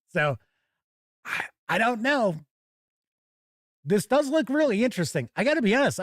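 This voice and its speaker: Higher-pitched man chatting on a gaming livestream. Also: no room sound, clean studio sound. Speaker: host of a tabletop gaming news show. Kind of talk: casual live-stream chat, rambling anecdotes.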